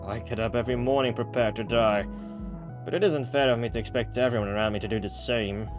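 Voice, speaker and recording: A man's voice: mid-range voice, fairly even intonation, quick pace, over music, close to an everyday voice. A man voicing a resolute woman bartender from a visual novel, earnest and plain-spoken. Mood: resolute, apologetic. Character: resolute young woman bartender, frank and self-blaming.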